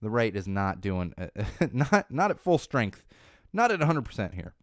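A man's voice: on the low side, sing-song, moderate pace, dry recording. A man, hosting a casual podcast from his home studio, talking in a rambling way with many restarts, his voice still slightly off from an ear infection. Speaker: podcast host.

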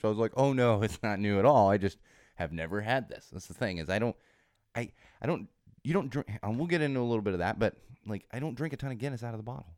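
A man hosting his own podcast, chatting casually while congested and a little hoarse from a cold, with a rambling, self-interrupting manner. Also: mid-range voice, a little mellow, dry recording, studio quality. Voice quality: slightly hoarse, sore throat. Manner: chatty and rambling, with frequent restarts and laughs. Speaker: podcast host. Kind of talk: casual solo podcast chat.

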